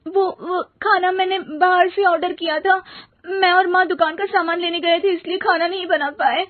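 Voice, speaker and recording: A woman's voice: very high voice, steady tone, moderate pace, no echo, phone-quality sound, voice pushed up. A woman voicing an eager, anxious young daughter-in-law in a cartoon story. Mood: flustered.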